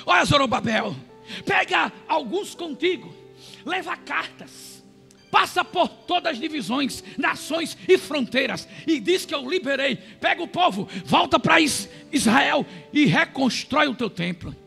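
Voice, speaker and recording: A man preaching a sermon, retelling scripture and shouting fervently. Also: very high-pitched, animated, a little slow, gravelly, soft background music.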